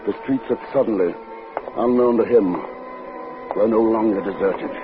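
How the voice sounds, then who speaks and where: A male actor playing a brooding, sinister man who narrates his own dark tale in formal, measured old-time radio style. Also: fairly low pitch, narrow, tinny audio, natural voice. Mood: haunted, ominous. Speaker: narrator and lead character. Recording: band-limited vintage radio recording with music.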